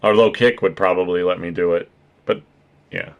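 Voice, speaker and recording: A man with a naturally deep voice hosting a casual stream chat, joking, swearing and laughing often. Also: steady tone, moderate pace, gravelly, smooth, lo-fi sound. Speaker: streamer and podcast host.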